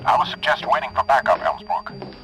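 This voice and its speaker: A man's voice: medium pitch, very animated, brisk, fairly bright tone, soft background music, poor audio. A man playing an earnest police sergeant in a radio drama, businesslike and urgent.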